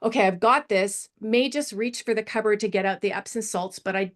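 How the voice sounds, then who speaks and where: A woman story editor giving spoken script feedback in a discussion, halting and emphatic, often illustrating with examples. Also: medium pitch.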